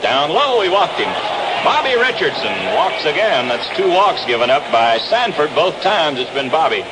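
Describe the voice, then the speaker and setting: Animated American baseball announcer, noisy old broadcast. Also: male voice, a little high, normal speed. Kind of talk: 1960s radio sports commentary. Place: outdoor ballpark broadcast. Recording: noisy vintage 1962 radio broadcast.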